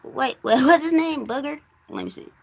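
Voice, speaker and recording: A woman talks through a film in a loose, conversational way, like a recap host thinking aloud. Her voice sits fairly high and is full of heavy vocal fry. She rambles easily from point to point. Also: normal speed, muffled recording. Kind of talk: casual film discussion.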